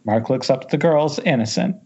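A man's voice: a little high, lively intonation, brisk, mellow. A man reading scripted stage directions as narrator at an amateur table read. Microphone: Skype call. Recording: dull lo-fi internet call.

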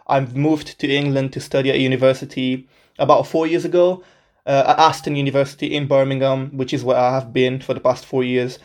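A man's voice: medium pitch, little pitch movement, a little fast, darkish tone. A man in his twenties from Portugal, a native Portuguese speaker, hosting his own podcast in a casual, upbeat, self-deprecating way. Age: 20s. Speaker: podcast host. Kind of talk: casual solo podcast introduction.

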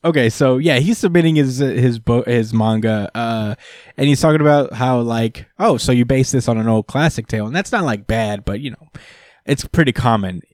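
A man's voice: mid-range voice, moderate pace, a little mellow, no room sound. A man talking casually, full of ums and uhs. He retells scenes and quotes the characters as he goes. His voice sounds dry and close, with no room around it. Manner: hesitant, lots of fillers, retells scenes with quoted lines. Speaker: podcast co-host. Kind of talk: casual chat recapping a manga.

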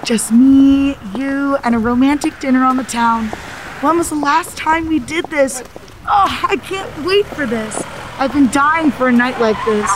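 A woman plays a confused accident victim stuck in an afterlife waiting room. The voice is high and unhurried, with sarcastic, slangy exasperation in audio drama style. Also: noisy background. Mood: excited, eager.

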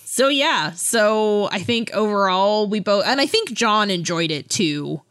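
A woman co-hosting a podcast, chatting casually about books in a thoughtful, hesitant way with trailing pauses. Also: mid-range voice, animated, fairly bright tone. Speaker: podcast co-host. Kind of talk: casual book discussion chat.

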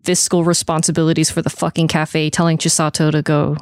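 A man's voice: a little high, completely flat delivery, a little fast, smooth, no echo. A man co-hosting a podcast in casual chat, speaking bluntly and swearing. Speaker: podcast co-host. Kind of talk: casual anime discussion chat.

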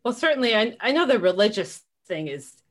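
A woman hosting a casual podcast conversation, talking in a warm, earnest way and asking follow-up questions. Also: on the high side, sing-song, fairly quick. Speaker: podcast host.

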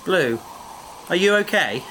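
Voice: medium pitch, expressive, unhurried, bright, forceful tone, a little hiss or hum, rough recording. An actor playing a caring, practical space adventurer in a sci-fi audio drama, with an earnest, reasoning way of talking. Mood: soothing, tender.

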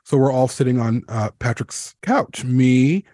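A man's voice: medium pitch, animated, measured pace, dark tone, no echo, clean studio sound. A man hosting a casual roundtable, telling anecdotes in an informal, emphatic way. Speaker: podcast host.

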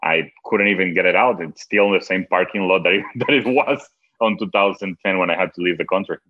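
A film director from Venezuela, a man with a Venezuelan accent and Spanish as his first language, answering interview questions in halting, restarting speech with frequent laughs. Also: low voice. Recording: dull lo-fi sound.

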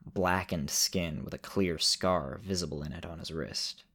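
A deep-voiced man narrates a fantasy story. He delivers it in deliberate, clipped phrases, leaving dramatic pauses between them. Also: steady tone, fairly bright tone, breathy edge.

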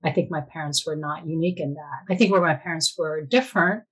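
A woman's voice: sits low, fast, fairly bright tone, husky. An American woman in her fifties, reflectively telling personal stories as an interview guest. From born in Korea, raised in the United States. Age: 50s. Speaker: interview guest, Korean adoptee.

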